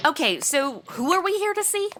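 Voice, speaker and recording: A woman's voice: very high-pitched, very animated, normal speed, fairly bright tone, faint music behind, full character voice. A woman playing a bubbly, ditzy young insurance seller in a comedy audio drama, with flippant, slangy Valley-girl chatter. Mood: perky.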